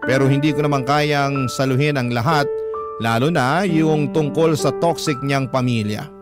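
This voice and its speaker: A man's voice: medium pitch, moderate pace, close to an everyday voice. An actor with a Filipino accent playing an earnest, conscientious young boyfriend narrating his story in the first person for a radio drama. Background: loud music bed.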